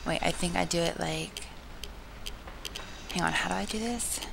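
Low, gravelly, hoarse young woman. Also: moderate pace, noisy background. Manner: chatty and self-mocking, drops into soft whispery asides. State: hoarse, sore throat. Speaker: gameplay commentator. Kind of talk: casual let's-play commentary.

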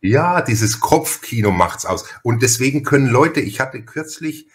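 A man's voice: mid-range voice, lively intonation, a little slow. A man hosting a casual podcast chat, rambling and repeating words as he goes. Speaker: podcast host.

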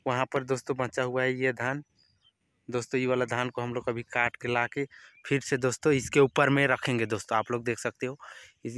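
A man's voice: mid-range voice, fairly bright tone, smooth, no room sound. A young man, chatting to viewers on a village vlog while filming himself outdoors on a handheld phone. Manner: chatty, keeps addressing viewers as friends. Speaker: vlogger. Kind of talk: casual outdoor vlog narration. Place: outdoors in open farm fields. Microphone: phone selfie camera at arm's length.

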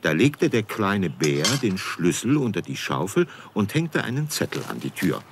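A man's voice: deep voice, very animated, normal speed, background noise. A man narrating a scripted children's story as storyteller.

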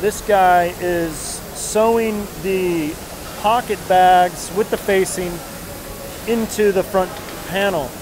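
A middle-aged man with a high, light voice explains what he sees as he walks through a big factory. He points things out in a relaxed, chatty way. Loud air conditioning and machine noise fill the space behind him. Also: slow. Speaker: denim designer touring a factory. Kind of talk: casual walkthrough narration. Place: large factory floor, indoors.

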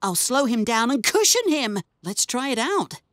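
A woman voicing a cheerful, eager, helpful young male steam engine in a children's cartoon, earnest, polite and expressive. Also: fairly high pitch, normal speed, no echo, studio quality. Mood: earnest, urgent. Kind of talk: animated children's cartoon acting.

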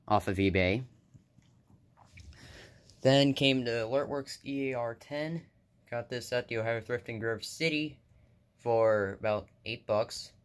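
A young man narrating a casual show-and-tell of his collection indoors, offhand, with fillers and self-corrections, recorded on a handheld phone. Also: medium pitch. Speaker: hobbyist vlogger. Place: indoors, room with carpeted floor.

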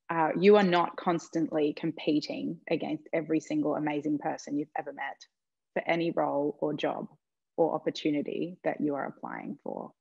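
A woman's voice: low voice, gravelly, husky, no room sound. A woman, a panelist on a video call giving earnest, encouraging advice. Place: home room with plain walls. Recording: slightly dull video-call audio.

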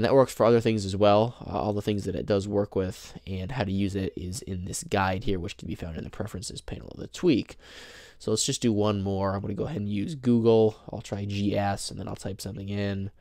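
A young man talks through a phone tutorial at a table, his voice low and a little gravelly. He explains each step plainly, with fillers and the odd embarrassed chuckle. The sound is dry, with no room echo. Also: a little mellow. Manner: chatty, explains step by step with fillers and small self-laughs. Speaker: tech tutorial host. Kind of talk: casual screen-demo walkthrough. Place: indoors at a table, filming a handheld phone. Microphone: camera mic behind the phone.

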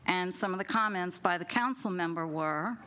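A woman's voice: lowish voice, moderate pace, pushed and bright. A middle-aged woman at a council podium mic, giving a pointed public comment full of questions, partly read from notes. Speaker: resident speaking at public comment. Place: city council chamber at a podium. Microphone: podium gooseneck mic.

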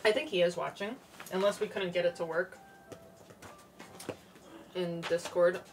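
A young woman streamer chatting casually and softly while wrapping gifts on her bedroom floor, stammering a little now and then. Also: lowish voice, unhurried. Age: young adult. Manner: soft-spoken, relaxed, stammers a little. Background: background music.

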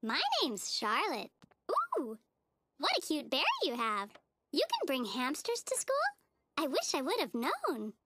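A woman voicing a naive, sweet, relentlessly cheerful little schoolgirl in a TV cartoon, with a childlike squeaky voice and a gushing, singsong, oblivious manner. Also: big pitch swings, measured pace, sharp tone, slight background noise, heavily acted. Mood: bubbly, friendly. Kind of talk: animated TV cartoon acting. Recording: music playing behind the voice.

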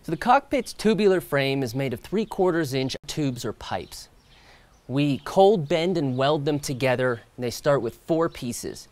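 A man in his thirties presenting a product outdoors, explaining in a relaxed way with the odd filler and restart. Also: mid-range voice, measured pace. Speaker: company co-founder presenting product. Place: outdoors by a stone wall.

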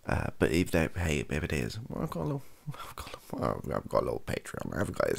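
A young man chatting softly in a rambling, self-deprecating ASMR style, hesitant and husky, close to the microphone. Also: extremely low pitch. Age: young adult. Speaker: ASMR video creator. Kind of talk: softly spoken ASMR chat. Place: small bedroom at a desk.

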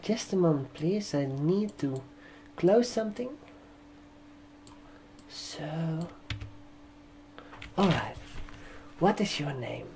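A man in his twenties doing a soft-spoken ASMR barbershop roleplay, speaking haltingly in short phrases. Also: fairly high pitch, animated, a little hiss or hum. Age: 20s. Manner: slow, halting, hushed delivery with short phrases. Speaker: ASMR performer playing a barber receptionist. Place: indoor room, seated at a desk. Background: computer keyboard typing and clicks.

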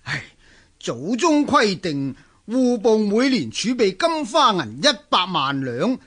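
Petulant emperor, high husky voice. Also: male voice, sing-song, takes it slowly, fairly bright tone, exaggerated voice. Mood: displeased. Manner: haughty, indignant, complaining. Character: petulant, imperious Chinese emperor. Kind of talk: Cantonese radio drama acting.

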